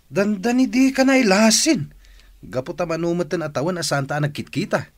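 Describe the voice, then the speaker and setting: A man's voice: sits high, lively intonation, normal speed, dry recording. An actor playing a hearty, affectionate family man in a radio drama, with an effusive, exclaiming delivery. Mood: jovial greeting. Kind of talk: Filipino radio drama acting.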